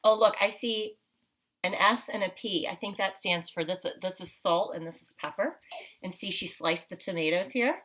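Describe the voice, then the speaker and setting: Lady teacher doing a read aloud for little kids, medium pitch, asks lots of questions, encouraging. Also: normal speed, a bit bright. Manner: encouraging, simple child-directed talk with frequent questions. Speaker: teacher reading aloud to children. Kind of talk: read-aloud picture walk, explaining and questioning.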